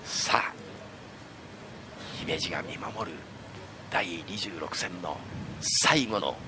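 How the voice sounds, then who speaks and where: A man's voice: low voice, slow pace. A man doing live play-by-play bowling commentary, exclaiming and repeating words at big moments. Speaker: sports play-by-play announcer. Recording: lo-fi broadcast, loud noise and crowd. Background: bowling arena crowd noise.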